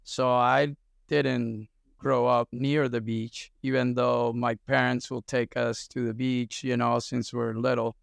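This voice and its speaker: Gravelly man telling stories. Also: medium pitch, takes it slowly, no echo, clean studio sound. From Venezuela. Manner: relaxed storytelling with many fillers. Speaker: surf coach, guest. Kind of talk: casual interview talk.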